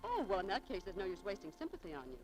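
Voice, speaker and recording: A woman's voice: mid-range voice, huge pitch swings, rapid-fire, over music, poor audio. An actress playing a prim, anxious, prickly young shop girl in a 1940s radio drama, polite but flustered, on an old broadcast recording. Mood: flustered, annoyed.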